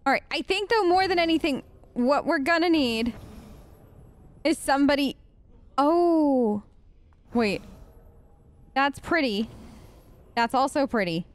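A woman's voice: high voice, lively intonation, slow pace, pauses often. A woman doing casual, chatty role-play game commentary with a playful, wry manner. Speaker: gamer, let's player.

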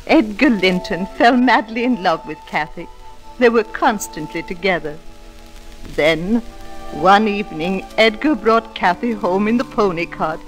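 A woman's voice: medium pitch, natural voice, rough recording. A woman playing a housekeeper who narrates a sombre tale in a 1940s radio drama.

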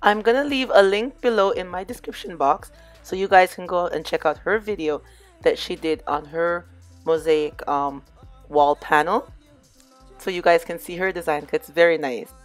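A hoarse, somewhat low-pitched woman talks viewers through a crafting project in a relaxed, chatty way. Her voice sounds a little under the weather. Soft music plays faintly underneath. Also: moderate pace. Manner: relaxed, chatty, addresses viewers as "you guys". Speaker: DIY crafts vlogger. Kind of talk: casual crafting tutorial voice-over.

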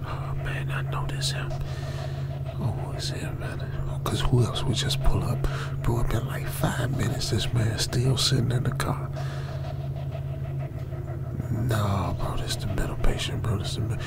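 A man's voice: on the low side, steady tone, a little slow, gravelly, long pauses. A man playing a frightened, stranded young American trucker in a radio drama, nervous and repetitive, often muttering or whispering. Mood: panicked whispering. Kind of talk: old-school radio drama with ASMR whispering. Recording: music behind the voice.

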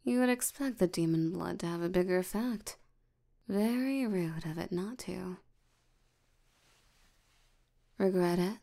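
A woman voicing a tired, languid sloth demon in an intimate ASMR roleplay, soft and often nearly whispering, with a drowsy deadpan delivery. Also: lowish voice. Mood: fond, sleepy. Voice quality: soft, creaky, often breathy near-whisper.

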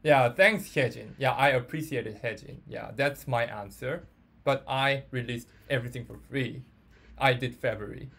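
A man with a Korean accent teaches an informal online language class in halting, repetitive English as a non-native speaker. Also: mid-range voice, creaky, fairly bright tone. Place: online group audio call.

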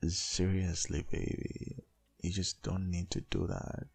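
Man acting a very deep, husky boyfriend. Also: slow pace, faint music behind, heavily acted. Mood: protective, coaxing. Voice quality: husky, gravelly, breathy. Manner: soft, intimate murmuring, coaxing. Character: doting, protective, gently dominant boyfriend. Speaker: ASMR roleplay performer. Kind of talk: boyfriend roleplay ASMR, intimate and slow.

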